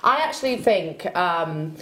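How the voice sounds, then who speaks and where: A woman's voice: on the low side, expressive, unhurried, fairly bright tone, poor audio. A woman with a Welsh accent presenting a live TV shopping show, chatty and upbeat as she talks with guests and greets viewers. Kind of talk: live TV selling chat. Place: TV studio.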